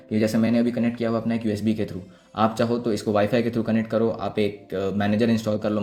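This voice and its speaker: A man's voice: fairly low pitch, a little mellow. Casual Indian man explaining code. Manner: casual, offhand, talks to viewers like friends. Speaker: coding tutorial presenter. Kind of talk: informal screen-recording code walkthrough.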